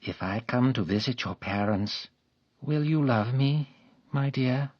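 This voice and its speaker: A man's voice: medium pitch, measured pace, dry recording, pitched above the performer's own voice, natural voice. A man playing a fawning, lecherous middle-aged official who turns harsh and scolding, read in a storybook. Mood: wheedling. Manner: wheedling, then harsh and scolding. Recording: dull lo-fi recording.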